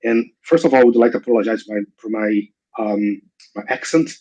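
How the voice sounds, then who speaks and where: Guest lecturer, Brazilian man, mixed accent, halting delivery, online call. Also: on the low side, a little mellow, dull audio. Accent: mixed Brazilian, British and American English. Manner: hesitant, many restarts and fillers. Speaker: invited guest speaker. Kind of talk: online seminar talk. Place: video call.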